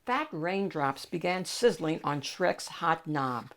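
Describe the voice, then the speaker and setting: A woman's voice: deep voice, lively intonation, slow. A woman hosting a children's read-aloud, narrating a picture book expressively.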